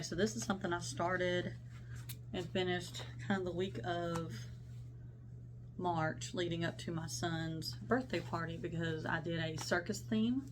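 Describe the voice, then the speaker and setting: A woman's voice: lowish voice, background noise. A young woman talking casually about her cross-stitch projects, rambling and self-interrupting, her voice congested from a sinus infection. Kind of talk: casual show-and-tell vlog.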